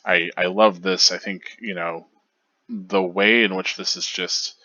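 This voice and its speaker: A man with a very low, bright-edged voice talks loosely through his thoughts, circling back with fillers and restarts. The sound is dry and a little dull, like a modest home mic. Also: fairly even intonation, unhurried, dry recording. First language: not Japanese. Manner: rambling, hesitant, many fillers and restarts. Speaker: video essayist. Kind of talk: casual commentary on manga pages.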